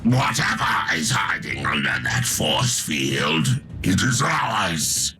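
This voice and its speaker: A man playing a grim, forceful soldier in a video game, with a gravelly voice. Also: very deep voice, sing-song, slow pace, faint music behind, full character voice. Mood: menacing. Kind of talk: dramatic game cutscene acting.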